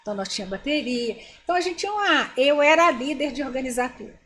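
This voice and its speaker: Older woman telling stories, lively. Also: sits high, fast. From Brazil. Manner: animated, addresses listeners directly. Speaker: interview guest. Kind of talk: storytelling interview.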